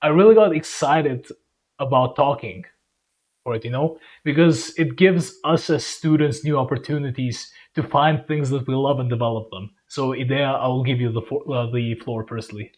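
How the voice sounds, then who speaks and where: A man's voice: medium pitch, moderate pace, darkish tone. Rambling young podcast host, a man. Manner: friendly, rambling, self-correcting. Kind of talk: casual podcast hosting.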